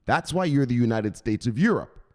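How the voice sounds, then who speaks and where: A man's voice: mid-range voice, lively intonation, a little fast. A man hosting a crypto news channel, ranting through the headlines in a profane and emphatic way.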